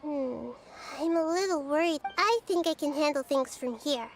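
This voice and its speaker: A woman voicing a gentle, wise and curious little-girl deity in a video game. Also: high-pitched, normal speed, background noise. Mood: gentle, thoughtful. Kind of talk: video game character dialogue.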